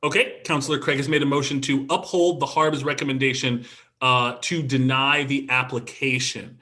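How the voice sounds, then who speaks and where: A man's voice: mid-range voice, flat delivery, normal speed, sharp tone. A man chairing a council meeting over a video call, guiding the proceedings with frequent ums and restarts.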